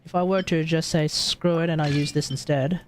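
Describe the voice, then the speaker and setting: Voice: medium pitch, steady tone, normal speed, noisy background. A game streamer rambling casually to themself and the chat while commentating live play. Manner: rambling, talks to self and chat. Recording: game music behind the voice.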